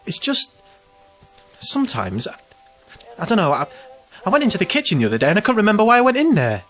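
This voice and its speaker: Anxious, self-pitying young man, swinging pitch. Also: wildly swooping pitch, quick pace, fairly bright tone, faint music behind, heavily acted. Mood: uneasy, confiding. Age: 20s. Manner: hesitant, self-deprecating, rambling. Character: anxious, self-pitying twenty-seven-year-old man. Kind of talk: audio drama acting.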